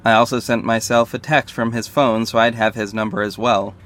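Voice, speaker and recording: A man's voice: medium pitch, flat delivery, brisk, a bit bright, lightly acted. A man playing a confident, flirty detective and secret vigilante, narrating an audio drama in the first person, conversational and confiding. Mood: charming, flirty. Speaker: narrator and lead character. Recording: faint background noise.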